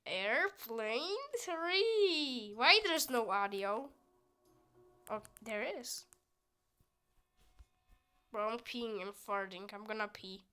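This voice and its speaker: A woman's voice: a little high, lively intonation, takes it slowly, pauses often, sharp tone, clear, resonant tone. A young woman with a youthful voice, chatting goofily while she plays a game and reads its lines aloud. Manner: chatty and goofy, with squealing outbursts. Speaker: gameplay streamer. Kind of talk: casual gameplay commentary, reading game dialogue aloud.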